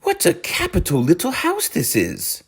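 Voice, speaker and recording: A man voicing a cheery, brisk, encouraging rat in a storybook, with a hearty, chummy manner. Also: high-pitched, wildly swooping pitch, vocal fry, slightly rough, voice pushed up, exaggerated voice. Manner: hearty, upbeat, chummy British-storybook phrasing.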